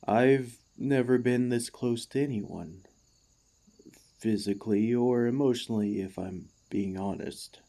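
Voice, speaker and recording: This is a devoted warforged ex-gladiator played by a man in an ASMR roleplay. The voice is soft-edged and mellow, and the words come slowly and haltingly. The construct speaks with formal courtesy and earnest, easily stirred feeling. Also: mid-range voice, gravelly. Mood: flustered, shy. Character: emotional, excitable warforged ex-gladiator, gentle and devoted.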